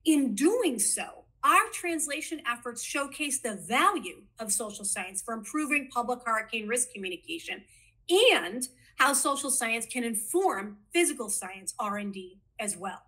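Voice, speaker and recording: A woman's voice: fairly high pitch, expressive, moderate pace, bright, forceful tone. A woman in her forties with a Boston accent, presenting a program overview over slides on a video call from a home office, earnest and enthusiastic with small stumbles. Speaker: program manager. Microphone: webcam on video call.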